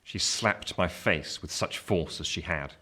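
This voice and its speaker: A man's voice: sits low, measured pace, sharp tone. A man acting a reflective narrator who recalls his boyhood in a scripted radio drama. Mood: measured storytelling.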